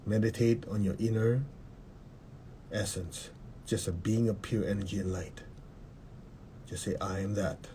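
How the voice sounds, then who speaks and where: Low, mellow man guiding a meditation. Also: slight background noise. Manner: calm, measured, repeating short instructions. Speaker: meditation guide. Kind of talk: guided meditation.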